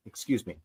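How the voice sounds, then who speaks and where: A man of forty hosting a casual livestream chat from home, speaking hesitantly with many fillers and restarts and pausing to read out viewer comments. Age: 40s. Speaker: livestream host. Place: at home, sitting on the floor.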